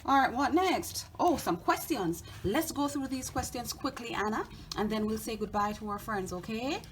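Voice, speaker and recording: A woman's voice: a little high, expressive, normal speed, a little hiss or hum. A woman chatting casually with a young child, guiding and prompting the reading in an encouraging, patient way.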